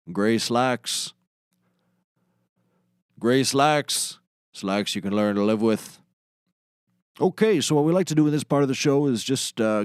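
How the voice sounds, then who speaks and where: A man playing a deadpan, self-important auctioneer who hosts a small comedy talk show. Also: on the low side, clean tone, no echo, clean studio sound, lightly acted. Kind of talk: scripted comedy acting.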